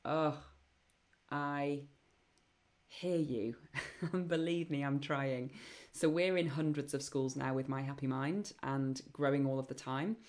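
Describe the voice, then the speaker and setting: A woman hosting a live online Q&A session, chatty and enthusiastic, with many ums and a voice getting croaky. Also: very deep voice, slow pace, darkish tone. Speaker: webinar host and program founder.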